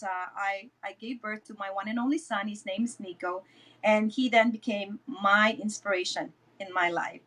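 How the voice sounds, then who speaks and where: A woman's voice: fairly high pitch, a bit bright, low-quality recording. A middle-aged woman from the Philippines with a Filipino accent, telling her personal story as an interview guest and repeating words for emphasis. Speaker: interview guest, network marketing business leader. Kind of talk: personal testimonial in an online interview.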